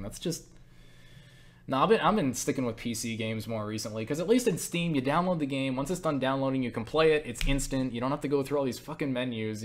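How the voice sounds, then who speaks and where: A man talking in a casual, rambling monologue as he answers viewer questions, stumbling over words, interrupting himself and speaking bluntly. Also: a little high. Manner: blunt, swears freely.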